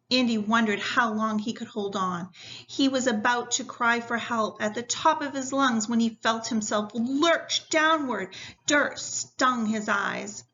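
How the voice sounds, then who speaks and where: A woman with a fairly high, very bright voice reads a story aloud in the manner of a teacher. She takes her time, and the recording is slightly dull. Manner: instructive, addressing pupils directly.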